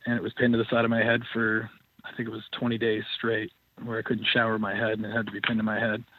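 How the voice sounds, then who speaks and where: A professional wrestler, a man, answering interview questions in a steady, matter-of-fact way. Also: on the low side, fast, slightly rough, no echo. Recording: tinny dry phone line.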